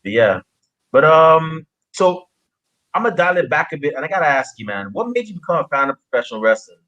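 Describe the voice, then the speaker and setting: A man from Guyana hosting a casual interview, speaking haltingly and often repeating phrases as he goes. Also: fairly high pitch, very animated, sharp tone, muffled recording. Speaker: podcast interviewer. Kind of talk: casual chat.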